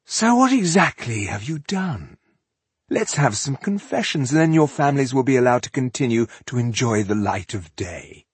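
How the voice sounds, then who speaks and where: A man's voice: medium pitch, very animated, normal speed, slightly rough, no echo, pitched above the performer's own voice. A man voicing a suave, cruel elf-like warlord in a fantasy audiobook, velvety and mockingly polite in a conversational way. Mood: coaxing, menacing.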